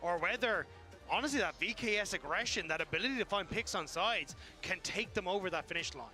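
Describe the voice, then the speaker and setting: A man's voice: high voice, a little fast, sharp tone. A man giving analytical color commentary on an esports match, thinking through options aloud. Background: music.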